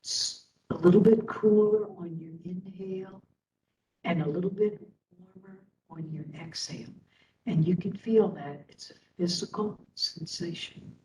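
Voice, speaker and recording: A woman instructor leading a guided meditation in a soothing manner, speaking in short phrases with long pauses. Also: mellow. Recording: roomy, distant mic, faint rumbling noise.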